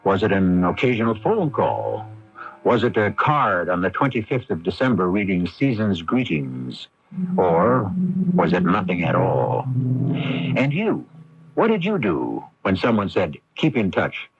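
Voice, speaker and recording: A man's voice: deep voice, sing-song, a little slow, a little hiss or hum. A man hosting a radio drama, delivering theatrical scripted narration with a rhetorical delivery. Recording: muffled vintage radio broadcast.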